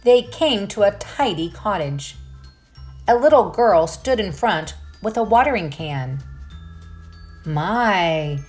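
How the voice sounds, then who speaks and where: A woman's voice: medium pitch, big pitch swings, measured pace, a little mellow, soft background music. A woman reading a children's storybook aloud expressively, with dramatic delivery and musing asides to the listeners. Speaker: children's book read-aloud narrator.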